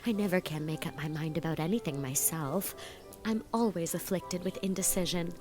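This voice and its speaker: Gushing vain young college girl, acted by a woman in an audio drama, chatty and indecisive, a slightly lower voice than most women, soft music faintly underneath. She runs on in eager, emphatic bursts. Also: moderate pace, natural voice. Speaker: voice actor.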